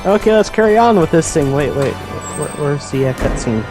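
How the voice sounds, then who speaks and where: Higher-voiced playful man bantering over a game. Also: lively intonation, moderate pace. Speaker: let's play co-host. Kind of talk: casual banter over gameplay. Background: game music.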